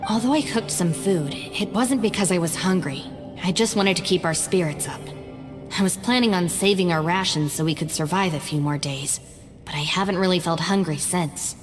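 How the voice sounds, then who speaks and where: A woman playing a composed, serious and cautious game character who speaks in a measured, formal manner. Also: fairly low pitch, in a large hall, faint music behind, natural voice. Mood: reflective. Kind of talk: video game voice acting.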